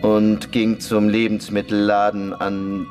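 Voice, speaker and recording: A man playing a simple, remorseless prisoner in a German radio play, speaking in a deadpan, halting way. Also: on the low side, over music. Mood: deadpan confessing. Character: simple, matter-of-fact male prisoner, remorseless village bomber.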